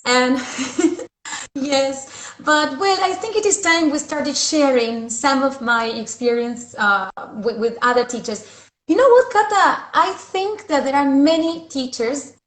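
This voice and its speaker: A woman's voice: high-pitched, slow pace, fairly bright tone. A woman in her forties from Argentina with an Argentine accent, an English teacher chatting at home over a video call with lots of fillers. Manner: chatty, many fillers, laughs easily. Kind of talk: casual video call chat. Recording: roomy video call audio.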